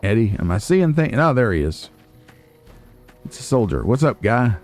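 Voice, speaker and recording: A man's voice: mid-range voice, sing-song, normal speed, a little mellow. A man hosting a video game playthrough, chatting casually and musing aloud with wry asides. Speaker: let's play host. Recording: game music behind the voice.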